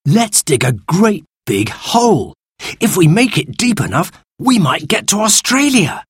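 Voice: medium pitch, very animated, a little slow, slightly rough, no room sound, clean studio sound, pitched above the performer's own voice, full character voice. A narrator voicing a confident, bossy eldest boy full of enthusiasm in a children's story. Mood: keen, bossy. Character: bossy eldest boy, keen young adventurer.

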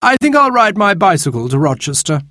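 A man's voice: high voice, sing-song, brisk, bright, forceful tone, no echo, clean studio sound, voice pushed up, heavily acted. A man voicing a cocky, self-assured young man in a children's storybook reading. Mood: breezy. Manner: lofty, bossy storybook voice.

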